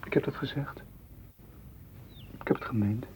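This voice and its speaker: A man's voice: medium pitch, normal speed, darkish tone, husky. A man playing a frustrated, hurt lover, intense and reproachful, in a radio play. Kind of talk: Dutch radio play acting. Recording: dull, faintly noisy old radio recording.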